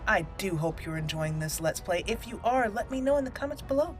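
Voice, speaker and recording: A woman's voice: mid-range voice, expressive, fairly quick, faint music behind. A woman hosting a roleplay let's play, chatty and playful, thinking aloud as she comments on the game. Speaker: YouTube gaming host.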